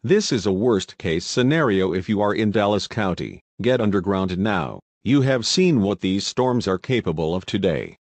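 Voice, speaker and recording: A grave, alarmed male news anchor acted by a man covers breaking severe weather. His voice sits low and is recorded dry, with hardly any room sound. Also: lively intonation, normal speed, hardly any pauses, no echo. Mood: alarmed, urgent.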